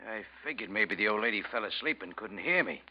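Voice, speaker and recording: A menacing, suspicious stranger in a 1940s radio thriller. His low voice is brusque and slangy, with wide swings in pitch. The vintage recording sounds dull. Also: lively intonation, fast. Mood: suspicious, pushy. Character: pushy, menacing male stranger, tough and suspicious.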